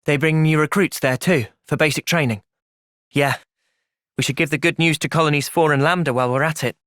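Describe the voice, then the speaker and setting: A young man playing an earnest, thoughtful young soldier hero in a fantasy video game's cutscenes, with expressive delivery. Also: a little high, brisk, no room sound, clean studio sound, lightly acted. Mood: calm, thoughtful. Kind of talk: video game cutscene acting.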